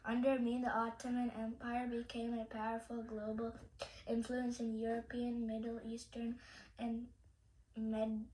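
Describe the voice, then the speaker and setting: A woman's voice: on the high side, completely flat delivery, measured pace, dark tone. A young woman reciting a scripted history presentation in character as a ruler, in a declamatory way. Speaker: student presenter playing a sultan. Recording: echoey room with background noise.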